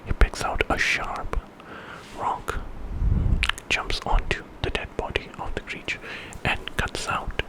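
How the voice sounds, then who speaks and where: A man telling a bedtime story in ASMR style, in a breathy whisper and an informal, conversational way. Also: slow, poor audio. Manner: informal retelling with quoted dialogue.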